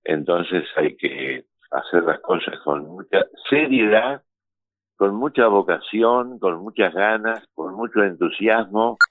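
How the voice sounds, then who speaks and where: A man's voice: deep voice, expressive, slow. A man, a radio host speaking reflectively as in an interview. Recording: thin phone-like band, dry.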